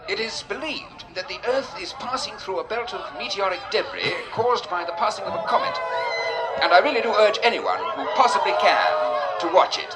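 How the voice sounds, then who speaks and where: Keen radio commentator, high voice, loud music and crowd behind him. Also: male voice, animated, a little fast, low-quality recording. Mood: excited. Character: enthusiastic male radio commentator. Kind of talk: radio broadcast commentary acting.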